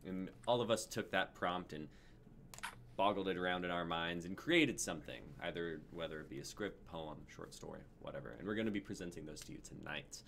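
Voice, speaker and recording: A man's voice: low voice, fairly quick, vocal fry, a bit bright, slight background noise. A man in his twenties casually hosting a live stream on a group video call. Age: 20s. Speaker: stream host.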